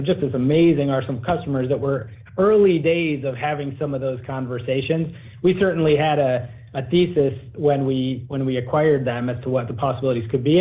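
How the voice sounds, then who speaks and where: Male executive for an investor call, businesslike and corporate, heard over a narrow phone line. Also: medium pitch. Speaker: company executive. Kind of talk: earnings call presentation and answers. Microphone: conference call line.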